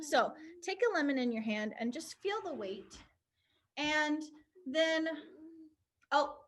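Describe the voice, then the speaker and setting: A woman's voice: fairly high pitch, pushed and bright. A young woman teaching an online drama class for kids, encouraging and upbeat as she guides a pretend game over a video call. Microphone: computer on a video call.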